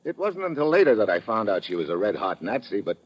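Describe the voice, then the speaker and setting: A man's voice: lowish voice, big pitch swings, fast, slightly rough, low-quality recording. A man playing a tough, wisecracking American government agent in a hard-boiled, wry 1950s radio drama style. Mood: uneasy. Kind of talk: 1950s radio drama acting and first-person narration. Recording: old radio broadcast with music.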